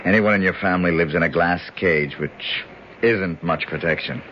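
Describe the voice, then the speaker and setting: A man's voice: deep voice, normal speed, slight background noise, natural voice. An actor playing a brash, sardonic tough man from the slums in a 1970s radio drama, talking in punchy slang. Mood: wry, determined. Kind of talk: 1970s radio drama acting and first-person narration. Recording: dull vintage radio recording with music.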